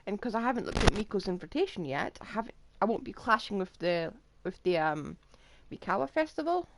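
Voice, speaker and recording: A woman's voice: mid-range voice, very animated, brisk, vocal fry, slight background noise, poor audio. A woman livestreamer giving casual, chatty game commentary with dry quips. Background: game music.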